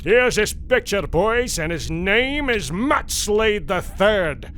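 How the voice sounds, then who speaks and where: A man voicing an older, determined American tycoon giving deliberate orders in a motion comic adventure. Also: sits high, very animated, fairly bright tone, slight background noise, heavily acted. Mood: grave, determined. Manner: deliberate, authoritative speeches. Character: old American industrial tycoon, gruff and determined.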